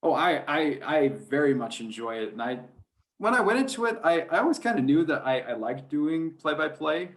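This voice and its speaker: A man's voice: on the high side, sing-song, normal speed. A man who works as a sports announcer, talking casually as a guest in a hesitant, rambling, good-humored way. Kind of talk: casual chat.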